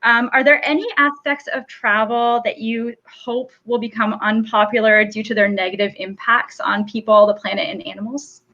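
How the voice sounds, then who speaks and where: A woman moderating a live panel discussion on a video call, friendly and quick to laugh. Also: on the high side, fairly even intonation, bright, forceful tone, muffled recording.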